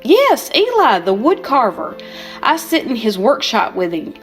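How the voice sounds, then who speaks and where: An older woman voicing a carefree wooden girl puppet with a bright, lilting way of talking. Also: on the high side, huge pitch swings, measured pace, faint music behind. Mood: breezy.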